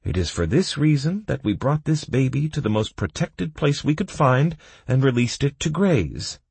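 A man's voice: medium pitch, sing-song, normal speed, gravelly, a little mellow, breathy edge, dry recording, pitched above the performer's own voice, full character voice. A man voicing a defensive prisoner who earnestly rattles off grand excuses in a fantasy audiobook. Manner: rattles off grand self-justifications.

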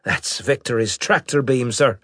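A man voicing a brash, excitable male ace pilot in an audiobook, with cheeky, urgent military talk. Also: mid-range voice, a little slow, no room sound, clean studio sound, voice pushed up. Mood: brisk, confident. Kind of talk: audiobook character acting.

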